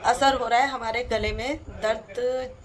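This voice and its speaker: A woman's voice: a little high, steady tone, measured pace. A middle-aged woman with a sore throat and a cold, chatting homely to her viewers as friends in a casual home vlog. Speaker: vlogger. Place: at home, indoors. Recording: roomy sound from a distant mic.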